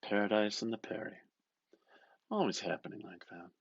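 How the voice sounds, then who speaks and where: A man reading a novel aloud with expressive, dramatic narration, musing and often dropping into a hushed murmur. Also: lowish voice, normal speed, slightly rough, no echo, dull audio. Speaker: reader. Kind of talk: expressive literary audiobook narration.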